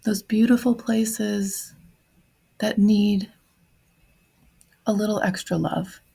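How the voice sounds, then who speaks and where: A woman guides a reflective meditation at a very leisurely pace. Her voice is soft and husky, often sinking into creak. She leaves long pauses between gentle, short phrases. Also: medium pitch, steady tone, poor audio.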